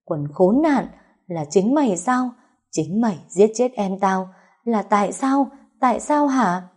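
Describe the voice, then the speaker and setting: A woman voicing a furious older mother-in-law who screams shrilly in rage, her voice husky. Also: medium pitch, lively intonation, unhurried, darkish tone, pitched above the performer's own voice.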